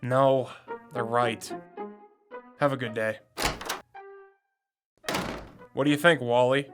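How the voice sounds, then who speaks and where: An actor playing a brash, suspicious young amateur detective in an audio drama, with pointed, accusatory questioning. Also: male voice, medium pitch, steady tone, measured pace, music in the background, close to an everyday voice. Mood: suspicious, determined. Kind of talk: scripted audio drama acting.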